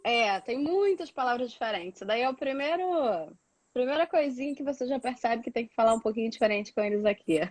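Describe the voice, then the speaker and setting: A woman's voice: a little high, animated, a little fast, a little mellow, no echo. A Brazilian woman chatting casually and explaining things as a guest, repeating words for emphasis. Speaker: guest, doctoral student living in Portugal.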